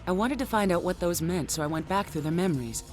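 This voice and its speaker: A woman's voice: on the low side, expressive, fast, darkish tone, soft background music, lightly acted. A woman playing a composed, decisive special-ops field leader in a video game, speaking in an assured, probing way. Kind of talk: English dub acting in a video game cutscene.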